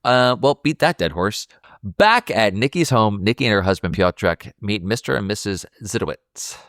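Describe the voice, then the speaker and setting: Low-voiced man chatting on a podcast. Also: lively intonation, normal speed, a bit bright, no room sound, clean studio sound. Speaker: podcast co-host. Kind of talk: casual film discussion.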